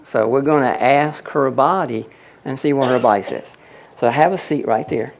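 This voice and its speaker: A man's voice: mid-range voice, normal speed, creaky, slight background noise, rough recording. A man presenting a healing seminar, explaining a live demonstration to an audience and repeatedly pointing things out. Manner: explanatory, audience-addressing, frequent "look at that".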